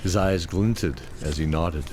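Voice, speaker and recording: A man reading scripted fantasy audio drama narration in a measured storytelling manner. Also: deep voice, a little mellow. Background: music.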